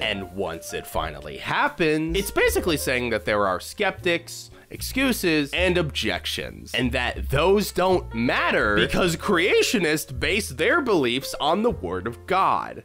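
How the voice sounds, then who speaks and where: A man's voice: a little high, a bit bright. A man in his twenties giving a wry, conversational and emphatic review into a studio mic. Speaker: video reviewer. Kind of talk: scripted casual review to camera. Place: small home studio room. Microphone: boom-arm studio mic close by.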